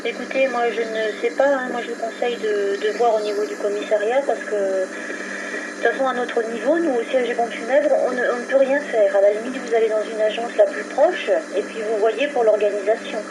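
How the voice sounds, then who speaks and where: A woman's voice: fairly even intonation, a little fast. A woman working as a helpline operator on a phone call, explaining things in a matter-of-fact way. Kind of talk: customer service phone conversation. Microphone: telephone line. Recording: noisy low-quality telephone recording from 2001.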